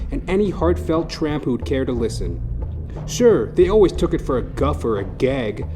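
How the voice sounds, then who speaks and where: Theatrical male comic narrator over music. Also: mid-range voice. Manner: florid, mock-grandiose, crude. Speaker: comedy podcast host and narrator. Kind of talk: theatrical scripted comic monologue. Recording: music bed under the voice.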